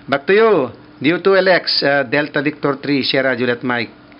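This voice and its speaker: A man with a Filipino accent making casual ham radio contact, halting as he searches for words. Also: mid-range voice, expressive. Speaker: amateur radio operator. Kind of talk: casual ham radio chat with call signs. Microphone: mobile phone. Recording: muffled, noisy mobile phone capture.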